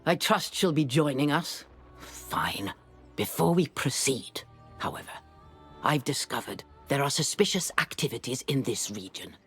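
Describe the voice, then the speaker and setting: A brash, haughty game scholar, impatient yet slow and deliberate in delivery. Her theatrical voice swings widely in pitch and has a slightly husky edge. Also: exaggerated voice. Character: brash, impatient female scholar eager to act. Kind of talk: video game character dialogue.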